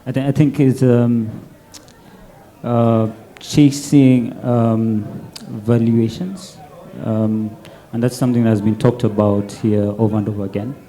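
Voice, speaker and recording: A man speaking on a panel, halting, with many fillers and repeated words. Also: mid-range voice, little pitch movement, warm tone. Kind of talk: panel discussion.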